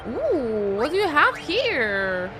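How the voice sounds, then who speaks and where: Unhurried Polish-accented woman streamer. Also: sits high, huge pitch swings, noisy background. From Poland. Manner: chatty, musing aloud to viewers while playing. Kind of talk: live-stream gameplay commentary. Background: game music.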